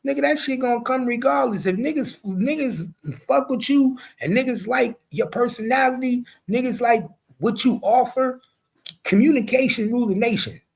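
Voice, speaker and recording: A man's voice: very high-pitched, normal speed, gravelly, breathy edge. A man, hosting a casual chat and telling stories bluntly and with lots of profanity. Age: 40s. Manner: blunt, profane, animated storytelling. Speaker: show host.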